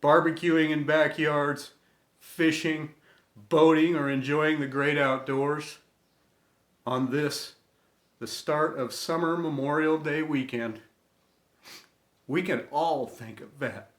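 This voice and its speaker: A middle-aged American man reading a scripted inspirational tribute in an earnest, reverent way, with deliberate phrasing and dramatic pauses. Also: a little high, fairly even intonation, a bit bright. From United States. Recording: echoey room sound.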